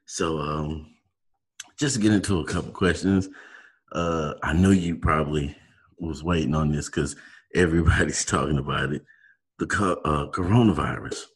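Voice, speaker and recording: A man's voice: rumbling low, moderate pace, husky. A man interviewing a guest in casual chat, rambling and searching for words with many fillers. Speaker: podcast interviewer.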